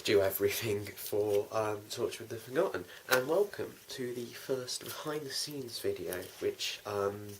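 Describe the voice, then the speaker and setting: A man's voice: fairly low pitch, expressive, unhurried, few pauses. A young man talking casually and in a rambling, informal way as host of a behind-the-scenes vlog. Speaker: host, writer and producer of a fan audio drama. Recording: noisy amateur recording with clicks.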